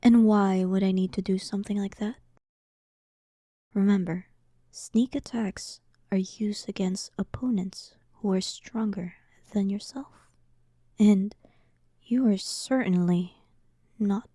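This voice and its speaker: Demon queen for roleplay audio , female performer: dominant, sarcastic, unhurried, dark soft tone, very close and dry. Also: medium pitch, fairly even intonation, no room sound. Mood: mocking, amused. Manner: slow, teasing, condescending. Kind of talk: scripted roleplay audio drama.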